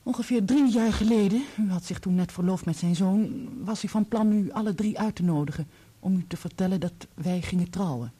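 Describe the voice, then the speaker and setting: A woman acts out a candid, composed divorcee in a radio play. Her voice is warm and dark, with a breathy huskiness. She speaks deliberately and asks her questions in a measured, probing way. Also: mid-range voice, natural voice. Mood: candid, reflective. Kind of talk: Dutch radio play acting.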